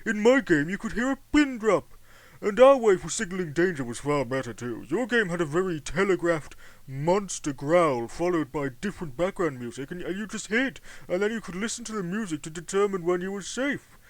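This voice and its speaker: Man playing a self-satisfied Victorian showman; higher voice, dry sound. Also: expressive, fairly quick, no room sound. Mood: boastful. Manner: self-satisfied, boastful, theatrical. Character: smug, boastful Victorian gentleman game-maker.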